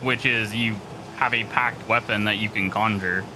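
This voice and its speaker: A man's voice: fairly low pitch, steady tone, normal speed, noisy background. A man co-streaming a game, chatting informally and thinking aloud as he explains things. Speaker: guest co-streamer. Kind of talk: casual chat during a game stream.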